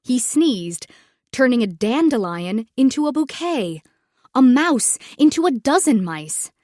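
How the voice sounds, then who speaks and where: A woman reads a children's story aloud. Her voice is fairly high and swings widely in pitch as she takes the scripted narration at an unhurried pace, expressively and clearly meant for young children. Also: sing-song, a little mellow, no echo, clean studio sound.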